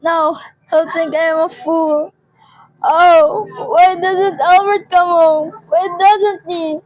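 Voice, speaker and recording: A woman's voice: extremely high pitch, fairly even intonation, slow pace, dry recording, rough recording. A teenage girl playing an anxious, demanding, self-pitying bedridden wife in a radio suspense drama, insistent and stammering. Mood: distraught.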